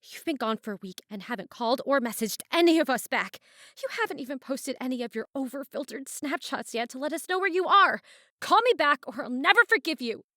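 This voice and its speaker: A woman's voice: high-pitched, lively intonation, fairly quick, bright, forceful tone, dry recording, studio quality. A woman playing a distraught, suspicious, hot-tempered young stepdaughter in an audio drama, emotionally raw and confrontational. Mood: exasperated.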